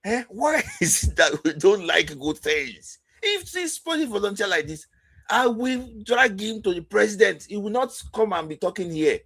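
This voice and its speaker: A man hosting a football talk show, commenting casually in an animated, exasperated way with laughs between points. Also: high voice, normal speed, vocal fry.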